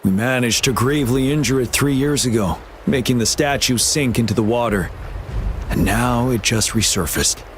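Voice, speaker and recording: A man's voice: medium pitch, moderate pace, slight background noise, lightly acted. A man playing a stern, resolute military general in a game, speaking in a grave, formal, commanding manner. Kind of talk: scripted game dialogue. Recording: background music.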